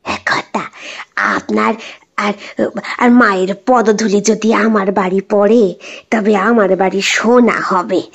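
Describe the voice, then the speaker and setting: A woman voicing a humble village man who speaks haltingly and deferentially in an audio story. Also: medium pitch, fairly even intonation, vocal fry, dull audio. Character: humble village uncle, respectfully inviting guests.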